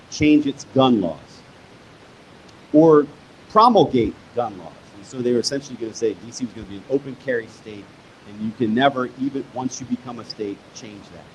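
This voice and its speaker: A man's voice: medium pitch, slight background noise, rough recording. Man explaining history at a live talk. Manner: measured, explanatory, building emphasis in quotes. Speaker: author and historian guest. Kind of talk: live onstage conversation.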